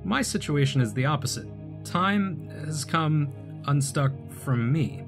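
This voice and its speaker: An actor playing an earnest wanderer unstuck from time, explaining himself in a measured way in an audio drama. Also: male voice, fairly high pitch, animated, over music. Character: earnest male time-unmoored wanderer recounting his strange life. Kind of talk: audio drama acting, calm storytelling.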